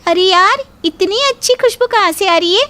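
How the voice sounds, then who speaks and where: Chatty cartoon girlfriend or sister-in-law female actor, inquisitive, coaxing, high register. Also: moderate pace, bright, forceful tone. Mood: gushing, chatty. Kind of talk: cartoon dialogue.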